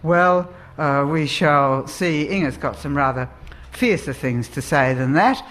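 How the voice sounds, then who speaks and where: An Australian woman chairing a live writers' festival session, introducing the speakers in a measured, deliberate way. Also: sits low.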